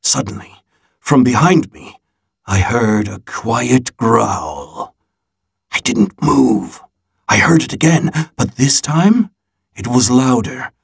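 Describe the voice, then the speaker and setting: A man voicing a storybook grandfather who recounts his seafaring adventure in a dramatic, measured way with suspenseful pauses. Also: medium pitch, sing-song, gravelly, husky, full character voice.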